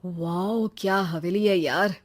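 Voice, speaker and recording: A woman's voice: on the low side, fairly even intonation, slow. A woman acting a villager in a cartoon ghost story, with dramatic, exclamatory delivery. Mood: amazed.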